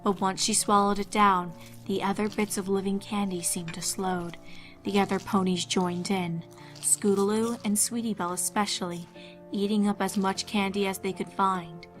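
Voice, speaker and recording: A woman's voice: mid-range voice, steady tone, normal speed, a bit bright, faint music behind. A woman narrating a scripted horror fan fiction story.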